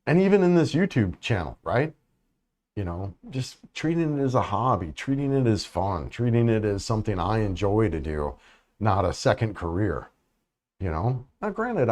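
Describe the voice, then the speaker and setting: A man's voice: low voice, a little mellow. A middle-aged man rambling through a casual live stream, thanking viewers for comments, with lots of fillers and restarts. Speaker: vlogger hosting a live chat.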